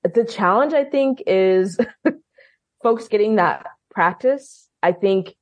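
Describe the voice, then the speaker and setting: A woman's voice: mid-range voice, expressive, slow, lo-fi sound. A young woman speaking as an interview guest, chatty, with lots of "like" and "um". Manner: chatty, many "like" and "um" fillers. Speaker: guest, language program leader. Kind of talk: casual interview talk.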